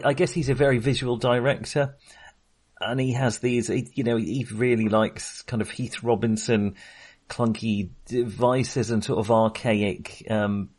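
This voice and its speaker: A man in casual film discussion as a podcast co-host, hesitant and self-deprecating in manner. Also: medium pitch.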